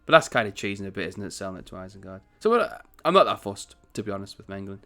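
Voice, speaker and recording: A man hosting a strategy game commentary, chatty and rambling, with a croaky morning voice. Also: fairly low pitch, lively intonation, fast, pushed and bright, soft background music. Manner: chatty, rambling, self-correcting. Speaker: gaming channel host.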